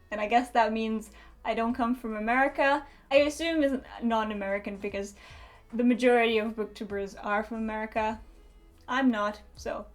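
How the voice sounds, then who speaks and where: A young woman from Romania with a slightly high voice chats to camera about books. She rambles a bit, laughs often and restarts her sentences, and soft music plays faintly behind her. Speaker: book vlogger. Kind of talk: casual chat to camera. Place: home in Denmark.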